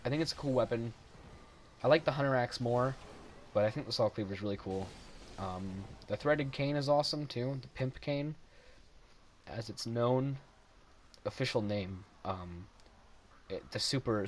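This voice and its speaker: A man's voice: mid-range voice, a little hiss or hum. A young man casually commenting over his own gameplay footage, rambling and self-deprecating, often laughing at his own jokes.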